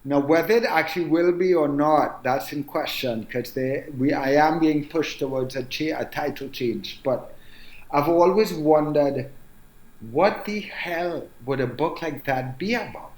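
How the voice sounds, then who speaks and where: A man in his forties with a Trinidadian accent, speaking as an author guest in a relaxed interview and musing aloud with many "um"s and "you know"s. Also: on the high side, echoey room, poor audio. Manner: reflective, unhurried, frequent "um" and "you know". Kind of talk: casual interview conversation.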